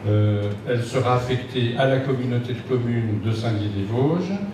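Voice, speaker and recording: A man formally presenting a motion as deputy mayor at a council meeting, in a halting, procedural way. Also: medium pitch. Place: large indoor council meeting room. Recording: echoey hall from far off, loud noise.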